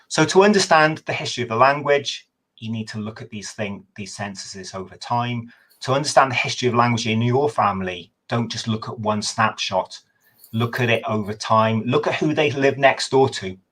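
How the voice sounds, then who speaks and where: A man, a history professor, giving a measured, thoughtful explanation over a video call, sometimes correcting himself mid-sentence. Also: mid-range voice, lively intonation, a bit bright. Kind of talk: explanatory talk in a webinar.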